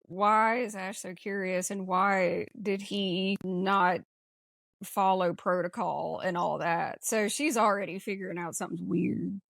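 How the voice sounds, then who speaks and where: A woman's voice: medium pitch, fairly even intonation, measured pace, gravelly, fairly bright tone, no echo, studio quality. A woman co-hosting a podcast, discussing a film casually in a blunt, slangy, informal way. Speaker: podcast co-host.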